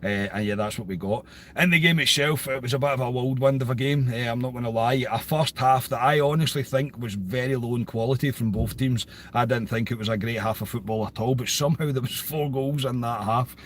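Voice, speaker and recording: A Scottish man hosting a casual football podcast, his voice a bit croaky, chatty and repeating phrases for emphasis. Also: mid-range voice, brisk, slight background noise. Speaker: podcast host.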